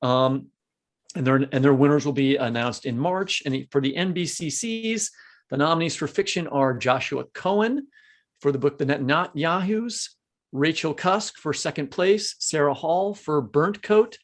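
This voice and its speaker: A man's voice: fairly high pitch, animated, unhurried. A man co-hosting a literary podcast, chatting casually and reading out award nominees with small asides. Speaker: podcast co-host. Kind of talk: podcast conversation, reading lists.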